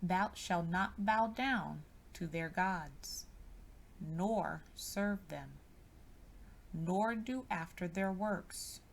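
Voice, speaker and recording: A woman reads scripture aloud in a solemn, measured and deliberate manner, as a scripted narration. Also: fairly low pitch, creaky. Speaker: scripture reader.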